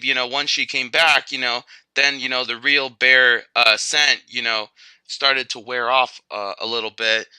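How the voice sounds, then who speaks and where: A man's voice: mid-range voice, bright, forceful tone, clean tone, no room sound, poor audio. A man voicing a chatty teddy bear who used to be a grizzly, telling stories casually in a rambling, hesitant way full of filler words. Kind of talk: casual first-person storytelling.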